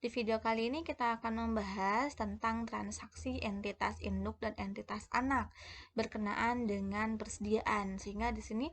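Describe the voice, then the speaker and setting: A woman's voice: fairly high pitch, steady tone, normal speed. Woman explaining a lesson, somewhat hesitant. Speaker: accounting lecturer.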